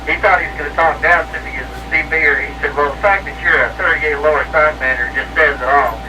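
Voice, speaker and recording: A man's voice: fairly high pitch, fast talker. A man talking casually and ramblingly as an amateur operator over a CB radio transmission. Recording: noisy CB radio, distant-sounding transmission.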